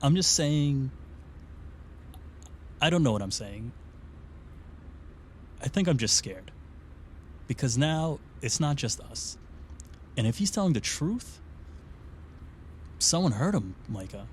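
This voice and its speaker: A man's voice: mid-range voice, big pitch swings, slow pace, long pauses, darkish tone, slight background noise. An actor playing a skeptical, uneasy and blunt man in a naturalistic audio drama. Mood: uneasy, conceding. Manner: blunt, questioning.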